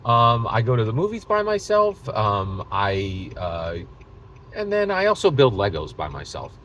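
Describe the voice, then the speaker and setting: Animated American man, emphatic and halting. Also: lowish voice, slight background noise. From United States. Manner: emphatic, repetitive, hyperbolic, halting mid-thought. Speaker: host of a tabletop gaming video channel. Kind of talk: casual opinionated monologue to camera.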